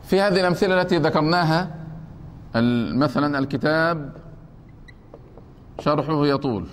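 A slightly higher-pitched man teaches a grammar lesson, walking through each example step by step. His voice carries a long reverb, as if recorded in a lecture hall. He checks with his listeners again and again. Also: slight background noise. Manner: deliberate, repeats points and keeps asking if it is clear.

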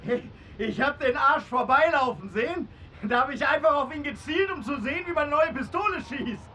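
An actor playing a cocky, threatening young gangster who barks taunts and orders in a crime radio drama. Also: male voice, extremely high pitch, expressive, moderate pace, bright, forceful tone, slight background noise, heavily acted. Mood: boastful. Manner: taunting, aggressive, barking orders.

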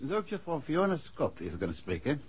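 Courteous investigator, lively husky male voice. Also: a little high, fairly quick, heavily acted. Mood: polite, coaxing. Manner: polite, coaxing. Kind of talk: 1960s radio drama acting. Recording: muffled old 1960s radio recording.

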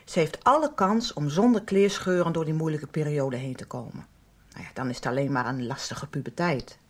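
Calm lady counsellor in a radio soap, low and a bit rough, careful and discreet. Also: moderate pace. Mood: careful, reassuring. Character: calm, discreet female professional counselling a worried mother.

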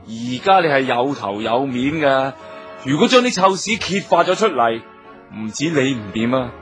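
A man acting an anxious, placating, self-interested gentleman in a radio drama, speaking persuasively. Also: mid-range voice, sing-song, moderate pace, soft background music. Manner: persuasive, coaxing.

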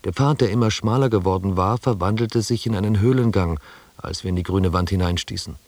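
An actor playing a sober expedition member who narrates the journey in a German radio drama, measured and descriptive. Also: male voice, low voice, a little mellow.

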